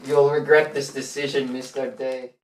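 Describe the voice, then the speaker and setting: A man's voice: medium pitch, flat delivery, normal speed, natural voice. A young man playing a pleading, deferential French minister who turns bitter in an amateur history puppet show, on an echoey, noisy, distant recording. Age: young adult.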